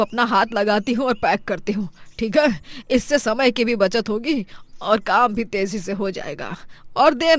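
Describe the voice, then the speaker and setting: A woman's voice: fairly high pitch, no room sound, natural voice. An actress playing an elderly, protective and long-suffering mother-in-law in a melodramatic story cartoon, with an emotional delivery. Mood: practical, kindly. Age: older. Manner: emotional, pleading.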